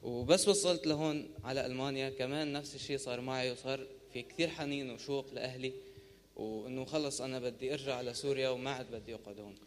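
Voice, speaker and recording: A man from Syria with a Syrian Arabic accent telling his personal testimony in a rapid, emotional way. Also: mid-range voice, steady tone, a little hiss or hum. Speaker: baptism candidate. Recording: long church reverb.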